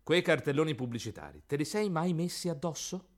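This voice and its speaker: A man's voice: on the high side, big pitch swings, fairly quick. An actor voicing a pedantic, exasperated know-it-all man in a radio play. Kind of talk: radio theatre acting.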